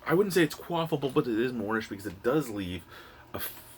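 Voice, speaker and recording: A Canadian man talks through a casual product review in an average-pitched voice that rises and falls a lot. He rambles and states his opinions freely, unscripted. The recording is made indoors with clear noise running behind him. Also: lively intonation, a little fast. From Ontario, Canada. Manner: rambling, opinionated, occasionally profane. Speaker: beer reviewer. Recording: noisy room with clear background hum.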